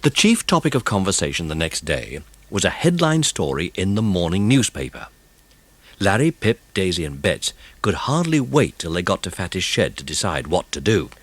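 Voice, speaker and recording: A man's voice: deep voice, big pitch swings, moderate pace, no room sound. A man with a British accent narrating a children's audiobook as a scripted, dramatised story.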